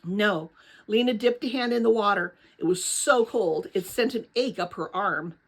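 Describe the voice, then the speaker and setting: A woman reading a children's novel aloud as narrator, with dramatic, expressive storytelling. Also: fairly high pitch, normal speed.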